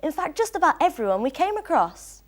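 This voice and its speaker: Young female co-host, high, very lively voice. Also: a little fast. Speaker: studio co-presenter. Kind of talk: live studio presenting.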